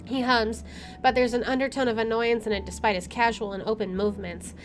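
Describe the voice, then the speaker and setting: A woman's voice: on the high side, fairly even intonation, a little fast. A woman doing a let's play, reading story text aloud expressively with informal asides. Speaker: gaming YouTuber. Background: game music.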